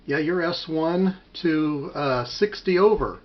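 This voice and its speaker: An American man talking casually as an amateur radio operator, measured and a little hesitant, on a muffled home recording. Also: a little high, background noise.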